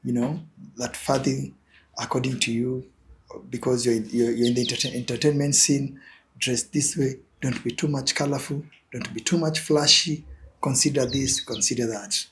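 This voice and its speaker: A man's voice: medium pitch, steady tone, moderate pace, fairly bright tone, slight background noise, low-quality recording. Hesitant Kenyan man in an interview. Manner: hesitant, many fillers and repeated words. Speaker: guest, image consultant. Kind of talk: interview answers.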